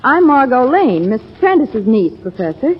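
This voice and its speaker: An actress playing an anxious, frightened young niece in an old-time radio mystery, with a dramatic delivery. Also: female voice, high-pitched, sing-song, moderate pace. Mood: uneasy. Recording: muffled, noisy vintage radio recording.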